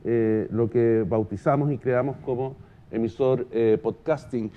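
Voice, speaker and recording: A Chilean man giving a semi-improvised conference talk in a halting, thoughtful way, often pausing mid-phrase. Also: medium pitch, steady tone, narrow, tinny audio. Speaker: podcast company founder giving a presentation.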